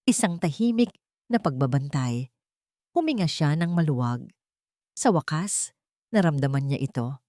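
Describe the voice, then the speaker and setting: A woman's voice: sits low, expressive, moderate pace, long pauses, dark tone, no echo, studio quality. A woman with a Filipino accent reading a scripted dramatic story as its narrator.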